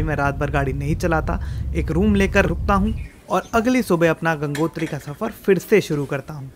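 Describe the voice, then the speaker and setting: Chatty high-voiced male travel vlogger. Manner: chatty, thinks aloud, mixes in English words. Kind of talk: travel vlog narration. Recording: background music under the voice.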